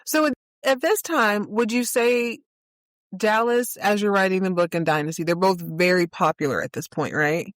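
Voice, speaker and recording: A woman's voice: medium pitch, moderate pace, no room sound, clean studio sound. A woman hosting a podcast interview, chatting casually as she asks questions and responds to her guest.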